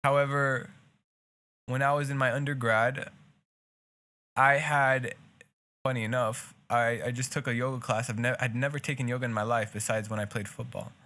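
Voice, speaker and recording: A man's voice: medium pitch, fairly even intonation, normal speed, sharp tone. A young man with a Hebrew accent, a native Hebrew speaker, co-hosting a casual podcast and talking earnestly in long, rambling stretches full of restarts. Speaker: podcast co-host.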